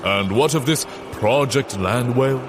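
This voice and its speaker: A man playing a jovial dead gentleman in a comedic audio drama, with a wry, theatrical manner. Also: mid-range voice, unhurried, noisy background, lightly acted. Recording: music behind the voice.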